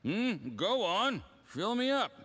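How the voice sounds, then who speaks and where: An actor playing a smug, condescending, tipsy diplomat in a video game, speaking in a theatrical, patronizing way. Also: male voice, high-pitched, big pitch swings, a bit bright, heavily acted. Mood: tipsy, pleased. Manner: theatrical, patronizing, drawn-out delivery.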